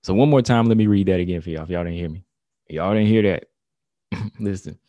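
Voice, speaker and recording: Low-voiced man talking fight sports. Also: fairly quick, dark tone, no echo, muffled recording. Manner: conversational, heavy on "you know" and "y'all", reads quotes aloud. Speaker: combat sports commentator. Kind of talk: casual commentary monologue.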